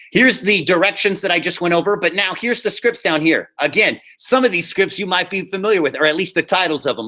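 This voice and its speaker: A man with a fairly high, very bright voice talks like a drama teacher walking students through an assignment. He is upbeat and informal, explains things step by step, and often checks in with the class. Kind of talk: casual instructional talk to students in an online class.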